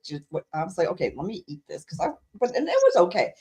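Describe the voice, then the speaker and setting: Lively Caribbean-born woman chatting. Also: lowish voice, fast, husky. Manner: laughing, animated, repeats herself. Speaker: podcast co-host. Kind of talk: casual chat.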